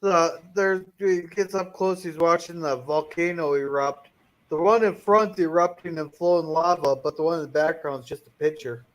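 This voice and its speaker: Male panelist, slightly high pitch, casual, halting conversational delivery. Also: low-quality recording. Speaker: review show panelist. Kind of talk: casual chat.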